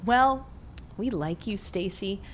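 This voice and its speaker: A young woman played by an actress in an audio drama, posing as a casual killer with a teasing edge. Her pitch is lively and varied, with faint noise in the recording. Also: slow. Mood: smug, casual threat.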